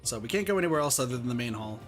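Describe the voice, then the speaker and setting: A man's voice: medium pitch, expressive, racing, fairly bright tone, soft background music. A man hosting a casual gameplay session, explaining things to his friends as he plays. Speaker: host playing a visual novel with friends.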